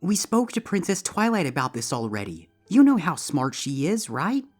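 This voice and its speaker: A woman voicing a gentle, worried and tired mother pony who coaxes softly in a dramatized story reading. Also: fairly low pitch, very animated, moderate pace. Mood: gentle, reassuring. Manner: soft, soothing, coaxing. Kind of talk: dramatized fan fiction reading. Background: background music.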